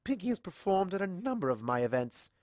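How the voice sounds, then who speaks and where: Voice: medium pitch, very animated, brisk, darkish tone, dry recording, studio quality, voice pushed up, natural voice. A performer voicing a refined, poised female pony socialite with a genteel, composed delivery. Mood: gracious.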